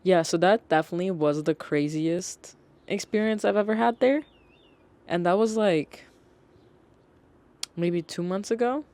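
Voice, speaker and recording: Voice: mid-range voice, a little slow, pauses often. Young podcaster swearing through retail stories. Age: young adult. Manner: animated, swearing, acts out customers. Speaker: podcast host. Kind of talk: casual storytelling podcast.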